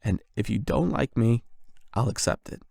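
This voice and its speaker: A young man playing a nervous, lovestruck online friend in an intimate ASMR roleplay, speaking haltingly and awkwardly with self-doubt. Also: medium pitch, gravelly, warm tone, no echo, clean studio sound. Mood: earnest, vulnerable.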